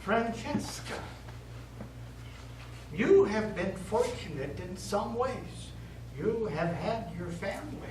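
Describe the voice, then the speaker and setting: Man acting a slow-speaking, gentle priest, distant echo. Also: high-pitched. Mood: gently persuasive. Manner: measured, earnest, pastoral. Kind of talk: stage play acting. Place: theatre studio stage. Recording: echoey stage, distant mic, noisy.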